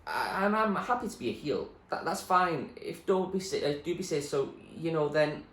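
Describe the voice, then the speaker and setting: A man reading aloud who voices a wary, quick teenage girl who stammers when flustered, in an echoey room. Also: on the high side, expressive, a bit bright, voice pushed up. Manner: hurried, stammering. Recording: echoey room, faint noise.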